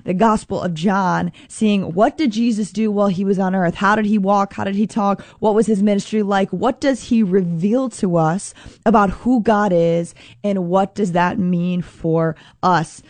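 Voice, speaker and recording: A woman hosting a faith radio show, earnest and upbeat, with a slightly scratchy, hoarse voice. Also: mid-range voice, steady tone, a little slow, a little mellow, dry recording. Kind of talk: prayerful scripture talk.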